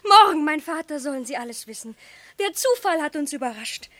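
An actress playing a determined young bride-to-be in a radio comedy, earnest and pleading with theatrical delivery. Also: female voice, high-pitched, animated, fairly quick, pushed and bright. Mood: pleading, defiant. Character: determined, lovestruck young bride-to-be, mayor's daughter.

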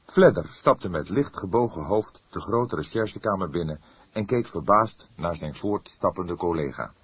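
A man reading a detective novel as an audiobook narrator, in measured, dramatic storytelling. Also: sits low, expressive, breathy edge, no room sound.

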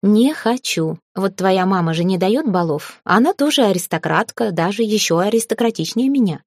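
A woman's voice: mid-range voice, expressive, normal speed, breathy edge, no room sound, studio quality. A woman voicing a stubborn, plain-spoken young heroine newly made an aristocrat, in a fantasy storybook.

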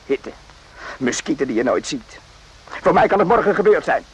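An actor in a radio play voicing a brooding, rambling soldier who muses haltingly and repeats himself. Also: male voice, fairly high pitch, big pitch swings, a little hiss or hum, poor audio. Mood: brooding, uneasy. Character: brooding, rambling soldier, former circus night watchman.

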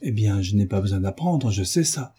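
A man's voice: lowish voice, expressive, moderate pace, dark tone, slightly rough. A man voicing a frank, stubborn thirteen-year-old schoolgirl with a dryly defiant manner in a storybook reading. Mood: blunt, calm.

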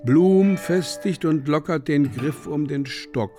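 An actor playing a solemn narrator who reads dreamlike stage directions in a slow, deliberate, measured way for a literary radio drama. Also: male voice, fairly high pitch, warm tone, soft background music. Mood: measured, grave.

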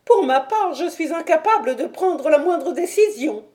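A woman doing a frantic nervous man, shrill and panicky, very high, near tears, audiobook. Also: expressive, normal speed, darkish tone. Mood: terrified.

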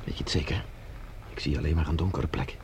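An actor playing a resolute, protective escaped prisoner in a science fiction radio drama, speaking in terse, reassuring lines. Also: male voice, rumbling low, steady tone, quick pace. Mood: hushed, reassuring. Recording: noisy scene with background ambience.

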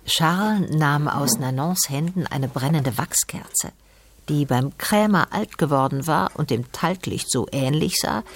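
A man acting as storyteller in a radio drama, reading literary prose with a husky voice. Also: fairly high pitch, animated, measured pace. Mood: composed. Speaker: narrator.

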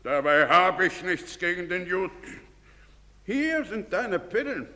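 An actor playing a pompous, self-important, bigoted middle-aged village doctor, declaiming in a theatrical stage manner. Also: male voice, high voice, big pitch swings, takes it slowly, sharp tone, exaggerated voice. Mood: lecturing, condescending. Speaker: stage actor in a broadcast drama. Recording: reverberant theatre stage recording.

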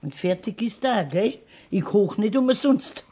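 A woman's voice: mid-range voice, expressive, measured pace, dark tone, slightly rough. An actress playing a stern, pragmatic old farm grandmother with a Bavarian accent in a dialect radio drama, blunt and scolding. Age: older.

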